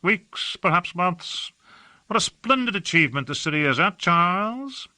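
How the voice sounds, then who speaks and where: A man's voice: sits high, very animated, moderate pace, sharp tone, no echo, pitched above the performer's own voice, full character voice. A man voicing an airy, languid male citizen who gossips in an affected, lilting drawl.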